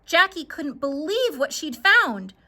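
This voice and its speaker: A woman narrating a scripted children's story in a dramatic, expressive way. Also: high voice, slow pace.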